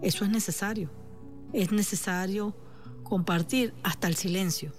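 A woman with a Venezuelan accent speaking on the radio as a therapist, calm and reassuring, addressing the listener directly. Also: medium pitch, moderate pace, soft background music. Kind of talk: supportive radio talk.

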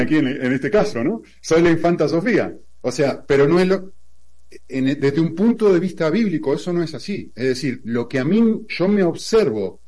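A man with an Argentine accent teaches in a relaxed, conversational way. He leans on examples and rhetorical questions to build his point. His voice sits a little higher than most men's. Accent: Argentine Spanish.

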